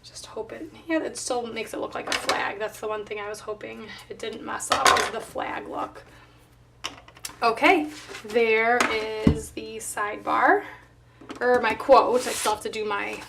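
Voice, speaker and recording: A woman with a slightly hoarse voice, casually narrating her craft work and thinking aloud as she goes. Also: a little high, steady tone, far from the mic, heavy background noise. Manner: unhurried thinking aloud while working. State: slightly hoarse, voice not recovered. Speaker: planner vlogger.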